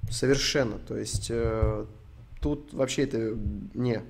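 A man's voice: medium pitch, fairly even intonation, unhurried, vocal fry. A young man from Ukraine streaming, answering chat questions in a rambling, sweary, joking way. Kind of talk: casual stream Q&A.

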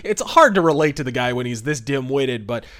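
A man's voice: medium pitch, animated, a little fast, a bit bright. Conversational male podcast host, mid-range voice. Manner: conversational, wry asides. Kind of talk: casual film and radio history commentary.

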